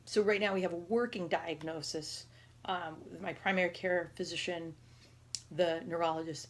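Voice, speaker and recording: A woman around forty speaks in a low, gravelly voice with a noticeable rasp. She talks informally to her viewers as a video diarist, rambling and pausing as she finds her way through each thought. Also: slight background noise. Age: 30s or 40s. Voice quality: raspy. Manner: halting, rambling, conversational. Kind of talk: casual personal health vlog.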